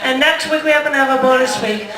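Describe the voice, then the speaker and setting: A woman's voice: high voice, fast, a crowd in the background. A woman hosting an open mic who is losing her voice, giving rambling, giggly announcements. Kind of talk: casual announcements. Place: cafe performance room. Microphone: distant room mic. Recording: echoey room, far from mic.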